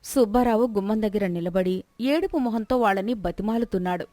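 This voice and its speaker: A woman's voice: medium pitch, brisk, dark tone, no room sound. A woman narrating a scripted moral story in the manner of a storyteller who also acts out the characters.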